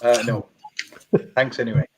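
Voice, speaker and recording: A man from Ireland and Birmingham telling his own story as an interview guest, measured and reflective, with some Arabic phrases. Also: mid-range voice, fairly even intonation, slightly rough. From Ireland and Birmingham, England. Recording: dry, slightly dull lo-fi audio.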